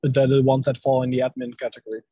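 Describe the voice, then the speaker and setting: A man with a husky, mid-pitched voice talks casually through technical matters in an online meeting. His intonation stays quite flat, and the sound is dry. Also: fast, dry recording. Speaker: meeting participant. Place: online group call.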